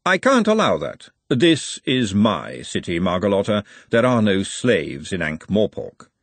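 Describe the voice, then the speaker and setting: A man's voice: fairly low pitch, very animated, a little slow, dry recording. A man voicing an imperious, disdainful noblewoman in a storybook, formal and pointed in manner. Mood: disdainful, challenging. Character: haughty, imperious aristocratic lady, sharp and disdainful.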